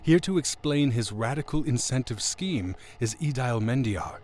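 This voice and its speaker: An actor playing a smooth, formal male radio news anchor in an audio drama. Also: mid-range voice, lively intonation, normal speed, a little mellow, a little hiss or hum, close to an everyday voice. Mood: formal, brisk.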